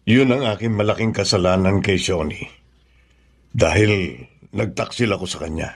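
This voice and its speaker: An actor playing a remorseful but firm middle-aged father in a Filipino radio drama, speaking solemnly and deliberately. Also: low-pitched, slightly rough.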